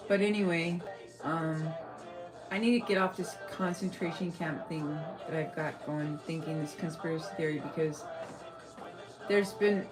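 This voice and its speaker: A rambling, blunt woman with a Texan accent chats to her livestream viewers and swears freely. Her voice sits fairly low, and faint music plays behind her. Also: fairly even intonation, normal speed, poor audio. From El Paso, Texas. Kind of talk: casual livestream chat.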